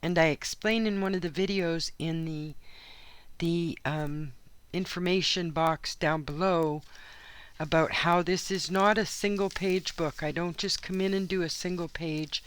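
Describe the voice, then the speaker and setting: A woman with a hoarse, worn-out voice talks casually as she plays in a journal at her craft table. She rambles from one aside to the next, filling the gaps with ums, in the easy manner of a regular channel host. The sound comes from her home craft studio. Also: lowish voice, fairly even intonation, a little slow. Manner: rambling, chatty, frequent asides and fillers. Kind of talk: casual chat while crafting.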